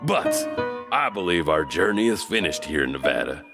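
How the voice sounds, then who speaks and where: Male horror podcast host, theatrical and conversational, lively swooping delivery with gravel in it, music underneath. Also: sits low, moderate pace. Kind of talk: spooky storytelling narration. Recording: long reverb, music underneath.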